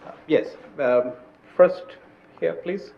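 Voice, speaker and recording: A man's voice: fairly high pitch, slow pace, creaky. A man moderates a conference panel and politely hands the floor to the audience. The audio is somewhat dull, with faint noise behind him. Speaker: session moderator.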